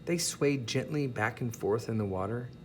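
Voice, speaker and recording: A man reading a children's picture book aloud as an expressive, deliberate storyteller. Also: mid-range voice, slight background noise. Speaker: storybook reader.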